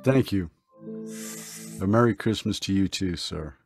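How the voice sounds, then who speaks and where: An actor playing a calm, kindly, mysterious doctor in an old-time radio drama, speaking gently and reassuringly. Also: male voice, low voice, unhurried, faint music behind.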